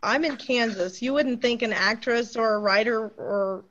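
A woman's voice: fairly high pitch, moderate pace, fairly bright tone, muffled recording. A woman, an author and actress, speaking earnestly as a guest on a video call interview.

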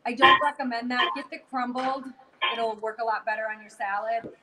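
A woman hosting a live cooking stream from a kitchen, briskly talking to viewers as she chops. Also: on the high side, steady tone, very noisy. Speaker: host. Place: home kitchen.

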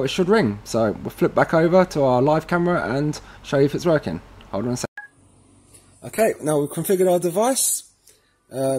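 A man presents an informal step-by-step tutorial. His voice sits a little high for a man and has a husky grain. He talks through each hands-on step casually as he does it. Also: darkish tone.

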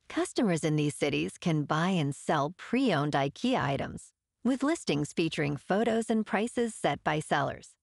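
Low-pitched, gravelly woman reading news. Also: no room sound, studio quality. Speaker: news podcast host.

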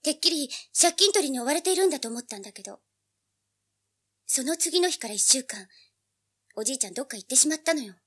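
A woman's voice: high-pitched, lively intonation, quick pace, long pauses, fairly bright tone. An actress playing a feisty, money-hungry teenage girl with a pert, blunt manner in a dramatized audiobook. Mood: recounting, uneasy.

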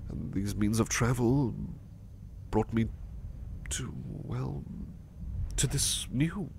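A man playing a gentle, anxious, sleepy male servant in a soft ASMR roleplay, speaking hesitantly and repeating his words. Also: medium pitch, takes it slowly, creaky, slight background noise. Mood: shaken, subdued. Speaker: roleplay voice performer.

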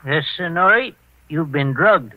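Folksy sidekick, highish pitch, homespun slangy talk, dry and dull vintage radio recording. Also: male voice, expressive, unhurried, no room sound. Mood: curious. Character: folksy, plain-spoken male sidekick to a detective. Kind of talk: 1940s radio character acting.

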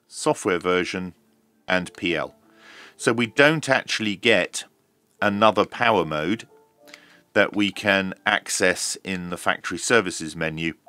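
A middle-aged man giving a measured, explanatory hands-on demonstration, like a reviewer talking through each step. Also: on the low side, sharp tone, no room sound. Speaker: radio reviewer.

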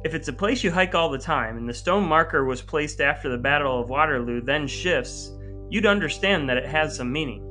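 A man's voice: fairly high pitch, animated, brisk, pushed and bright, soft background music. A middle-aged American man hosting a podcast, speaking in a scripted, narrating style. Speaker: podcast host.

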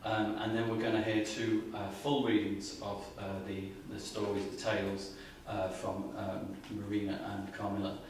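A middle-aged man hosting an event, giving an informal introduction in a halting, hesitant way full of ums. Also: on the low side, completely flat delivery, a little mellow. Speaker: event host introducing speakers. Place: indoor library event space with audience. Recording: echoey hall, distant mic, faint noise.

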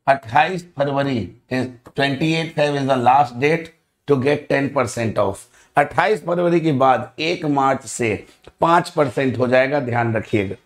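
A man in his thirties with an Indian accent, teaching a live online engineering class in a studio, energetic and repetitive, with a slightly sore throat. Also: medium pitch. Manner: energetic, repeats greetings, mixes Hindi and English. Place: indoor studio in front of a backdrop.

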